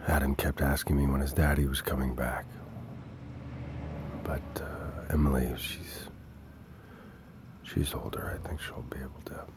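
A man's voice: extremely low pitch, level tone, in a whisper. An actor playing a weary, grieving husband and father who pleads and stammers in a naturalistic audio drama. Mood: numb, grieving. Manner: halting, stammering.

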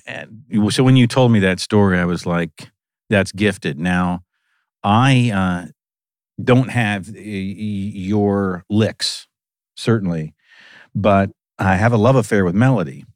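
Low-pitched man, lively host, dry close sound. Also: slow, no echo. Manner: animated, laughs often, repeats "right". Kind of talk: casual conversation.

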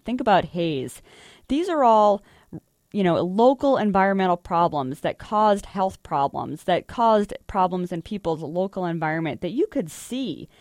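A woman's voice: fairly low pitch, a little mellow, no echo, studio quality. An American woman reporter explaining the news in a conversational interview style. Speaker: energy reporter.

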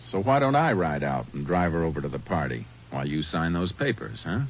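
An actor playing a calm, kindly Texas Ranger who speaks and narrates in a measured, plainspoken way for a radio drama. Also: male voice, sits low, a little hiss or hum. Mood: kindly, reassuring. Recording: muffled 1950s radio broadcast.

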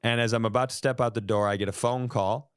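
A man's voice: mid-range voice, fairly even intonation, fast talker, clean tone, dry recording. Man riffing comically, mock-indignant, close dry sound. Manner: mock-indignant, profane, acting out quoted exchanges. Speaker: podcast host telling a personal story. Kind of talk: comic anecdote, casual banter.

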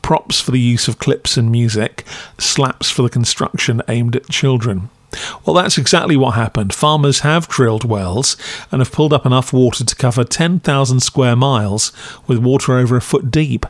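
A man's voice: medium pitch, moderate pace, no room sound. A man narrating a scripted review as a podcast host, with a wry, critical, dry delivery.

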